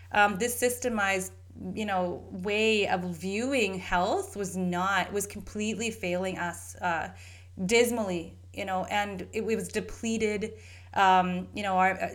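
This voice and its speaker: A woman from Canada talks through her own story as host of a solo podcast. Her pitch sits in an ordinary middle range. She rambles and restarts often, and she stays earnest and chatty throughout. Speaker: podcast host and holistic health practitioner. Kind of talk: casual solo podcast monologue.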